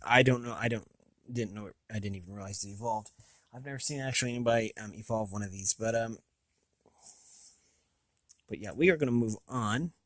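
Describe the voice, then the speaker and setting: An American man hosting a casual, unscripted review, thinking aloud in a halting, rambling way with a slightly raspy voice. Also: lowish voice, a bit bright, dry recording. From United States. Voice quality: slightly raspy from long talking. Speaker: video host.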